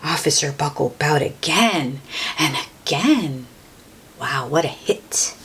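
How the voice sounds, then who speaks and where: A woman's voice: low voice, animated, slow, a bit bright, breathy edge. A woman reading a children's picture book aloud, with exclamations and chatty asides to young listeners. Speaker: storybook read-aloud host.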